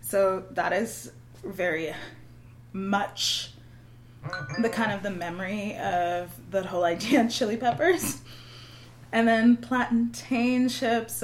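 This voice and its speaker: A woman guest on a casual podcast, soft-spoken and relaxed, laughing often, with a faint hum behind her. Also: mid-range voice. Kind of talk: casual chat.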